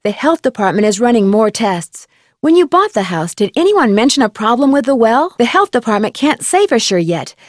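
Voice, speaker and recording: Curious young girl detective for a video game, highish voice, super dry sound, polite and nosy. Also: big pitch swings, moderate pace, no echo. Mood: inquisitive. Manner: inquisitive, clearly enunciated questioning. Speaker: game protagonist. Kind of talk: scripted video game dialogue.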